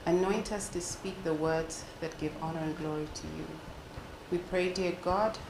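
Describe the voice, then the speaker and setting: Low-voiced English-raised woman in her forties. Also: steady tone, moderate pace, roomy sound, noisy background. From England. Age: 40s. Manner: earnest, prayerful. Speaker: guest. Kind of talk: interview and spoken prayer.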